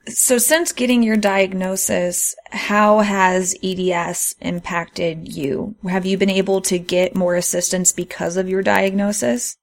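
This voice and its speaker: A woman's voice: medium pitch, fairly even intonation, a little slow, gravelly, few pauses. A woman speaking as a podcast host in a conversational interview style, asking and explaining.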